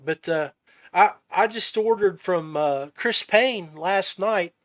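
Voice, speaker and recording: A man's voice: high voice, slow, fairly bright tone. A man hosting a casual interview podcast, talking in an informal, folksy way. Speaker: podcast host.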